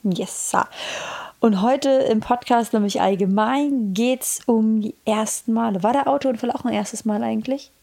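Chatty woman with a gravelly edge. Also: a little high, a little slow, warm tone. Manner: chatty, laughs easily. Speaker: podcast co-host. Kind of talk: casual podcast chat.

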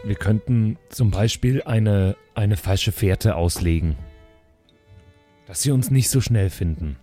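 A man's voice: on the low side, slow, dark tone. A man improvising in-character dialogue as a player in a role-playing podcast. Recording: music behind.

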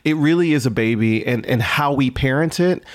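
A man's voice: mid-range voice, moderate pace. A man speaking earnestly and philosophically in casual conversation as a podcast guest, often checking in as he goes. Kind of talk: reflective conversational discussion.

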